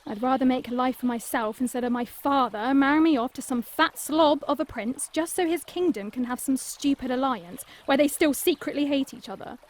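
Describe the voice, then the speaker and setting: A woman's voice: sits high, a little fast, few pauses, natural voice. A young woman playing a blunt, offhand runaway princess who hunts monsters, in an audio drama. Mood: resentful. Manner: blunt, offhand, dismissive of danger. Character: bold young princess turned monster hunter.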